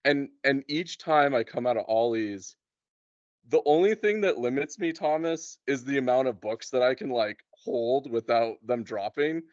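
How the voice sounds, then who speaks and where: A man's voice: medium pitch, normal speed, darkish tone, no echo. A man with a mid-range voice talks casually and chattily, as if swapping stories with a friend. The sound is dry and close, though a little dull and lo-fi. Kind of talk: casual chat.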